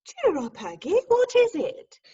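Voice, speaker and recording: A woman playing a breezy, teasing young Victorian lady in a stage farce, arch and expressive, recorded over a video call. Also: very high-pitched, unhurried, highs cut off, heavily acted. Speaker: actor in an amateur staged reading.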